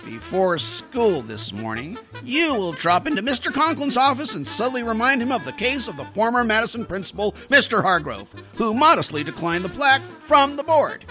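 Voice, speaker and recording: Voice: mid-range voice, very animated, normal speed, hardly any pauses, sharp tone, faint music behind. An actor playing a scheming, slangy teenage schoolboy in an old-time radio comedy, theatrical and wheedling.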